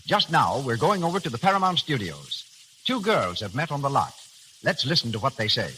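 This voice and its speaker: A male American announcer delivers scripted 1930s radio copy. His voice is bright and highly animated, with faint crackle and hiss on the recording. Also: a little fast.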